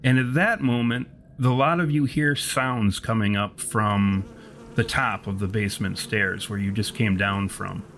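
Male game master, noisy recording. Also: fairly low pitch. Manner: descriptive, deliberate, self-correcting storytelling. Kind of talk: improvised narration of a tabletop horror game. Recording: steady background noise.

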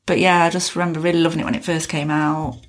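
A woman's voice: sits low, level tone, fast talker. A woman co-hosting a casual music podcast, chatting in an upbeat, fan-like way. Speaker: podcast co-host.

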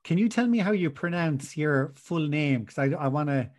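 A man's voice: on the high side, expressive, normal speed, a little mellow. A man hosting a podcast, asking questions eagerly with frequent stumbles and restarts. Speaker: podcast host. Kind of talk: casual interview chat.

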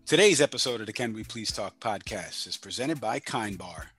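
A man's voice: fairly low pitch, expressive, normal speed, a bit bright, husky, faint music behind. A man hosting a podcast in casual, friendly chat. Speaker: podcast host.

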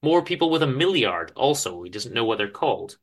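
A man giving a dramatic literary reading of a story, with theatrical, deliberate emphasis and pauses. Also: mid-range voice, big pitch swings. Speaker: author reading own story aloud.

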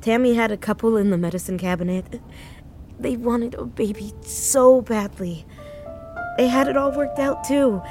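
A woman's voice: on the high side, a little mellow, natural voice. A woman playing a grieving, hesitant, sentimental woman in her thirties in naturalistic audio drama dialogue. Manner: hesitant, subdued.

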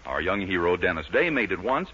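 A man's voice: low-pitched, big pitch swings, brisk, pushed and bright, breathy edge. A man announcing a 1940s radio show, reading scripted copy with an emphatic, polished salesman's delivery. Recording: dull, lo-fi 1940s radio recording.

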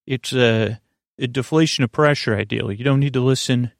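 A man hosting a bedtime podcast with a creaky, soothing voice, meandering and halting as he wanders off on tangents. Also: medium pitch, no echo, studio quality. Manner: soothing, lulling. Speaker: podcast host. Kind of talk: rambling bedtime podcast monologue.

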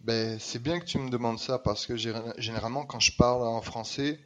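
A middle-aged man from Réunion with a Réunionese French accent tinged with Québécois, talking in an emphatic, lively way and repeating words for stress. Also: medium pitch, brisk. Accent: Réunionese French with some Québécois color. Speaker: guest. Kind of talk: casual conversation.

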